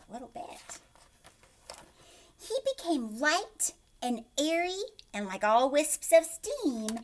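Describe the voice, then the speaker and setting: A woman teacher reading aloud to young children, expressive, putting questions to the listener and often dropping into a hushed voice. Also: high voice, noisy background. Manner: expressive, asks questions and pauses as if answered.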